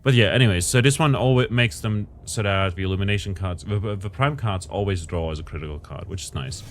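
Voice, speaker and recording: Low-voiced man muttering through gameplay. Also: quick pace, slight background noise. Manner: thinks aloud, muttering calculations and self-corrections. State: voice strained lately. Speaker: gameplay commentator. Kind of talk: casual gameplay commentary.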